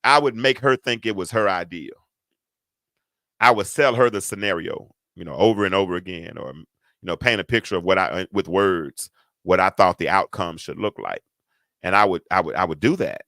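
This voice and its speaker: A middle-aged man with a deep, stentorious voice, hosting a talk show and telling a story to his audience. His tone is bright and forceful, and he leans on repetition and folksy asides for emphasis. The sound is dry and close. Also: normal speed, no echo. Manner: emphatic, repeats words for effect, folksy asides.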